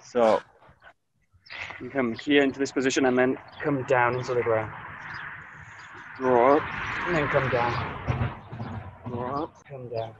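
A man in his thirties teaching an online aikido class, giving calm, short coaching cues outdoors in a grassy orchard, recorded on a camera mic as he moves nearer and farther. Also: mid-range voice, a little slow, long pauses, highs cut off, background noise. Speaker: aikido instructor. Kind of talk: live online class instruction. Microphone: camera mic, distance varies as he moves.

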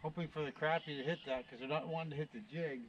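A man's voice: on the high side, fairly even intonation, fast, lo-fi sound, a little hiss or hum. A middle-aged Canadian man with a Canadian accent, narrating a casual fishing vlog in a folksy, joking way, from his car and from a creek bank. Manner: folksy, joking, thinks aloud with fillers. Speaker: fishing vlogger. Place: inside a car, then outdoors by a creek. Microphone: camera on dashboard, later far camera on the bank.

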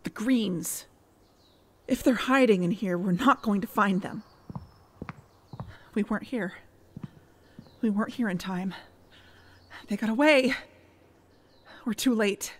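An actress playing an intense, serious investigator who co-hosts a podcast in an audio drama. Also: female voice, medium pitch, takes it slowly, long pauses, a little hiss or hum, lightly acted. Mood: dejected. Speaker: podcast co-host character and investigator.

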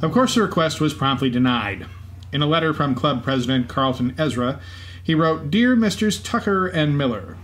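A man's voice: a little high, a bit bright. A man co-hosting a podcast with scripted narration. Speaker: podcast co-host. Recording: faint background ambience noise. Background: racetrack event ambience.

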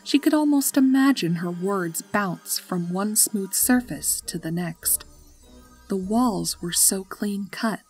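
A woman's voice: mid-range voice, expressive, slow, darkish tone, husky, rough recording. A woman narrating a scripted story as its storyteller. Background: music.

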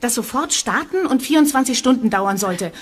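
An eager young acting student, earnest as she explains herself. Her voice sits a bit high, as in a radio play. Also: expressive, moderate pace, slightly rough.

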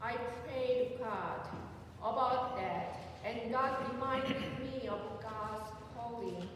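Woman pastor, 40s, Korean accent; slow, earnest sermon, higher voice, heard from far off in a reverberant sanctuary. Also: background noise. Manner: slow, earnest, personal testimony. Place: church sanctuary, at the pulpit. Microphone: pulpit mic, recorded by a far camera.

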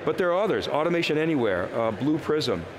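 A man's voice: fairly high pitch, very animated, brisk, noisy background. An older man, co-host and tech analyst, talking through market trends in a live trade show chat, restarting phrases as he thinks aloud. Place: TV set on a busy convention floor.

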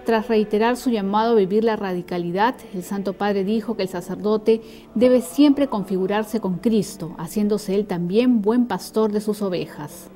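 A woman reading a calm, scripted news voice-over. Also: mid-range voice, moderate pace, faint music behind. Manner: calm, even reporting. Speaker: news narrator.

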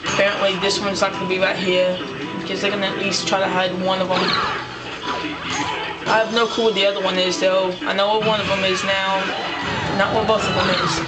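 A hoarse man casually commenting on his gameplay as a let's play host, rambling and breaking into frustrated outbursts. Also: high-pitched, level tone, brisk. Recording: distant mic over loud game music.

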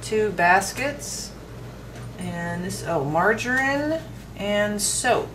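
A woman's voice: mid-range voice, lively intonation, drawn-out words, noisy background. A woman casually demonstrating a toy playset, explaining each piece as she handles it. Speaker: toy reviewer.

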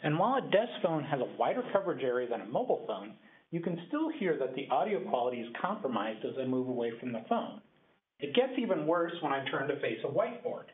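A man's voice: medium pitch, sing-song, fast, slightly rough. A middle-aged man giving a scripted demonstration, heard through a speakerphone in a meeting room. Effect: speakerphone sound. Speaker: presenter. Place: small meeting room, indoors. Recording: muffled, lo-fi speakerphone pickup.

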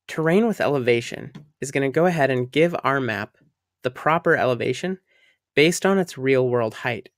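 A man talks through a software tutorial over a screen recording, friendly and upbeat as he explains each setting. His voice sits at an everyday middle pitch and is recorded close and dry, with no room sound. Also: animated, moderate pace, dry recording.

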